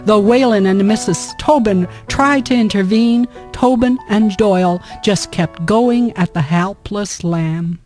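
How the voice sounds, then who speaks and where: A woman's voice: medium pitch, measured pace, few pauses, darkish tone. A woman giving scripted storytelling narration for a historical radio drama. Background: music.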